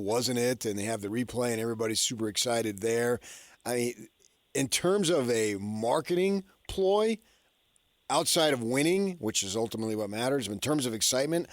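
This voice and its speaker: A man's voice: mid-range voice, no room sound. Emphatic male sports radio host, dry studio sound. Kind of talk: casual sports talk interview.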